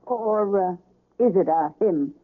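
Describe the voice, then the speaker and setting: An actress playing a frank, curious, gossipy hospital nurse with an easygoing manner in a 1970s radio drama. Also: female voice, mid-range voice, slow. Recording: tinny old radio broadcast recording.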